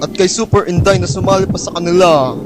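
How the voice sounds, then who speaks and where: Casting: male actor as gloating high-voiced villain for a homemade comic radio play, full of theatrical bravado, clearly noisy background. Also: fairly even intonation, fast, close to an everyday voice. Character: boastful cartoonish villain bent on conquering Earth. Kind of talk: amateur comic anime-parody radio drama. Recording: noisy homemade recording, rumbling ambience.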